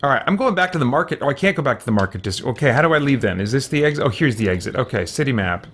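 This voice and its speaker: A man's voice: mid-range voice, lively intonation, rapid-fire, fairly bright tone. Man thinking aloud while gaming. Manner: muttering to himself, hesitant, exclaiming mild oaths. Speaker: gaming video host. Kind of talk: casual let's-play commentary, thinking aloud.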